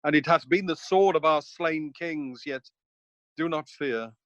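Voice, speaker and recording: A man's voice: fairly high pitch, measured pace, fairly bright tone, dry recording, dull audio. A man playing a loyal, earnest and patriotic nobleman in a Shakespearean radio play, with declamatory verse delivery. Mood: counselling, earnest.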